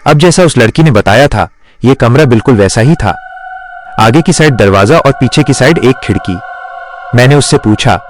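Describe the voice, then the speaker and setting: A man's voice: mid-range voice, expressive, normal speed, faint music behind. A man narrating a scripted horror story in the first person.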